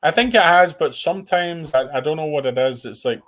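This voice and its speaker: A man's voice: a little high, a little fast, fairly bright tone. A man calling into a casual talk show, rambling through his stories and often repeating words as he starts a sentence. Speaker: call-in guest. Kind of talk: casual chat. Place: abroad in the United States. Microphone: call-in line.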